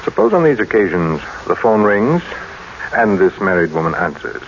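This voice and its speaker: A muffled old radio drama recording with light noise carries a deep male voice. a cold, imperious businessman, suspicious and decisive. He speaks brusquely, giving orders as one used to being obeyed. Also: sing-song, normal speed. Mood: probing, controlled. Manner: brusque, clipped, commanding.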